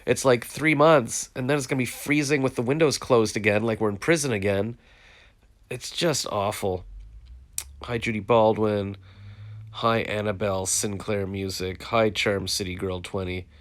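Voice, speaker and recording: A man's voice: fairly low pitch, fairly even intonation, moderate pace. A congested man chatting casually to viewers on a phone live stream, frustrated and rambling. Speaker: vlogger hosting a live stream. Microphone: phone, live stream from home.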